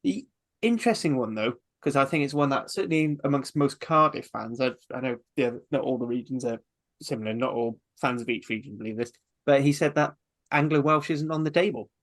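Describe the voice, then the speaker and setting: A man with a middle-pitched voice goes through his rugby talking points on a podcast. He works through them one at a time in a methodical way. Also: a little fast, a bit bright. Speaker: podcast co-host. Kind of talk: casual rugby chat.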